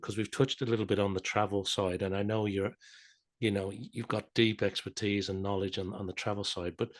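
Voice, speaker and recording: Lower-voiced male podcast host. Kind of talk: conversational interviewing.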